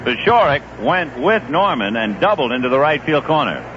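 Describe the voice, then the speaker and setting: A man calling baseball play-by-play on the radio in a conversational, lively manner. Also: mid-range voice, normal speed. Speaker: play-by-play announcer. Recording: dull 1970s radio broadcast with hiss.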